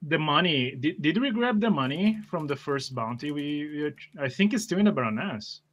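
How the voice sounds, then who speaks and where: A man's voice: fairly high pitch, animated, moderate pace, a bit bright, muffled recording. A man chatting casually as a player during a livestreamed tabletop game.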